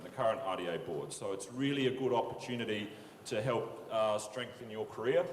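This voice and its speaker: Young male panelist, easygoing storyteller, echoey room. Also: mid-range voice. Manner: relaxed, anecdotal, with fillers. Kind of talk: conference panel anecdotes. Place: large conference hall. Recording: echoey conference hall, long reverb.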